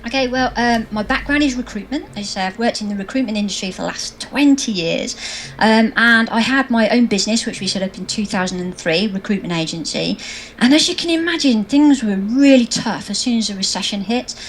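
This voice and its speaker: A woman's voice: a little high, lively intonation, brisk, slight background noise, low-quality recording. Bubbly woman telling stories. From England. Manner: bubbly, anecdotal, laughs easily. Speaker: guest, social media trainer. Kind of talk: interview, storytelling.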